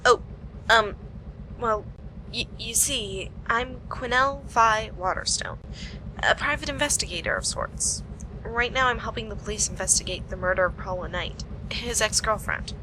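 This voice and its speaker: A woman's voice: on the high side, moderate pace, natural voice. Wry young female investigator played by a woman, noisy recording. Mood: explaining, awkward. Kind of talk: amateur radio play acting.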